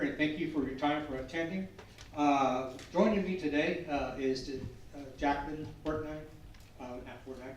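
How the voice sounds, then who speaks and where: A man from a sheriff's office speaking to a chamber meeting, halting, with many fillers. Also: on the high side. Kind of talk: community presentation. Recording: echoey room, far from mic.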